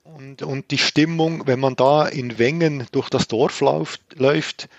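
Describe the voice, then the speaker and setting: Gravelly Swiss man, hesitant. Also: medium pitch, fairly even intonation, unhurried, dry recording, muffled recording. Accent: Swiss German. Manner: hesitant, many fillers and restarts. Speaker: podcast guest. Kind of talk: casual conversation.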